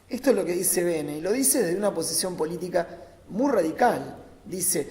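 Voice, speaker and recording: A man giving an improvised philosophical talk on a panel, phrasing deliberately and emphatically in short bursts with pauses. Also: high voice, mellow. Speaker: panel speaker, academic. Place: large reverberant conference room. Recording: echoey hall with long reverb.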